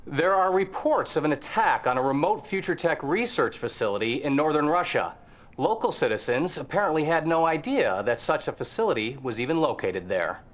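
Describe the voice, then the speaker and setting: An actor playing a TV news anchor reading the news in a measured, formal way. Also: male voice, fairly high pitch, big pitch swings, slight background noise. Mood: neutral. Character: polished male TV news anchor.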